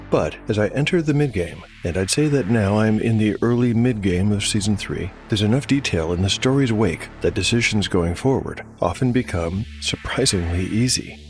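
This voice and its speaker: Casting: male narrator and game master, low register, scripted fantasy storytelling with music bed.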